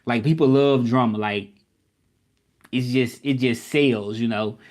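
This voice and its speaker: A man's voice: medium pitch, fairly even intonation, unhurried, a little mellow. A man hosting a casual live stream, chatting loosely with viewers and often repeating himself. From Memphis, United States. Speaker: livestream host.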